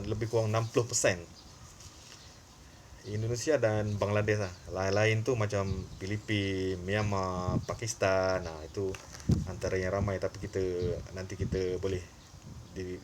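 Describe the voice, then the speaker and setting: A man's voice: fairly low pitch, noisy background. A man with a Malaysian accent giving informal, opinionated commentary in an emphatic, repetitive way, with frequent short filler tags. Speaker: online commentary channel host.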